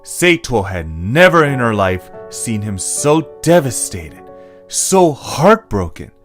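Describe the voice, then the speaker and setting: A man's voice: medium pitch, very animated, slow. A man reading scripted story narration as the narrator of an audio drama. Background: loud plucked guitar music.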